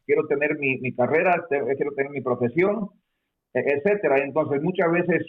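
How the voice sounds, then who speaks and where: A man's voice: on the high side, moderate pace. A man born in Peru, a guest explaining his experience in a hesitant way, with many fillers and restarts. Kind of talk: conversational explanation. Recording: phone-like narrow sound.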